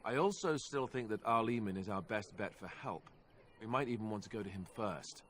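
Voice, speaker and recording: An actor playing a young warrior in a fantasy game, drily sarcastic and self-deprecating, with a hesitant conversational delivery. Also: male voice, fairly low pitch, expressive, brisk, fairly bright tone, slight background noise. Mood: earnest, uncertain. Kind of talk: fantasy video game dialogue acting.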